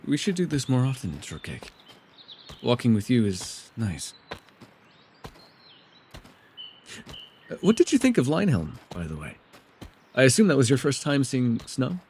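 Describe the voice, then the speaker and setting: A man playing a mysterious, soft-spoken elf with a gently teasing, secretive way, in an immersive ASMR roleplay with hesitant, tender asides. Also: mid-range voice, huge pitch swings, normal speed, a little hiss or hum. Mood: gentle, warm.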